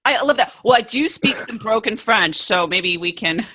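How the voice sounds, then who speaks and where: A woman hosting a podcast interview, enthusiastic and quick to laugh. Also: fairly high pitch, lively intonation, sharp tone, slightly rough. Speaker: podcast host.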